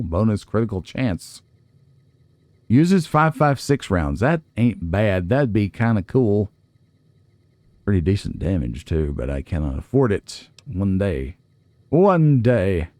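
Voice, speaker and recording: A man's voice: sits low, dark tone, no echo. A man giving casual gameplay commentary, chatty and folksy, musing aloud to himself. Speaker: let's play host.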